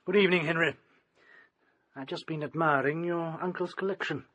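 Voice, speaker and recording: Haughty, faintly sinister English physician, rough-edged voice, formal and condescending, radio drama. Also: male voice, on the high side, fairly even intonation, normal speed, a bit bright, dry recording. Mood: cool, reproving. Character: cool, haughty family doctor, quietly sinister and evasive.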